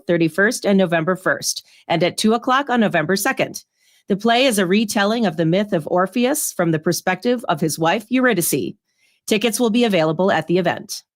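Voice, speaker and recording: A woman reading community event announcements on the radio in an even, read-aloud way. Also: medium pitch.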